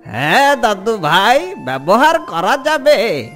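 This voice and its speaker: A woman voicing a doting old ogre grandfather in a fairy-tale cartoon, gently coaxing and cautioning. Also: mid-range voice, big pitch swings, soft background music. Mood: instructing. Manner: slow, coaxing, affectionate address to a grandchild.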